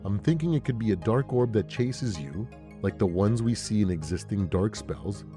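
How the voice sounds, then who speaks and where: A man's voice: on the low side, lively intonation, moderate pace. A man hosting casual, scripted gaming commentary, talking in rhetorical questions and playful asides. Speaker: gaming video creator and host. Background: background music.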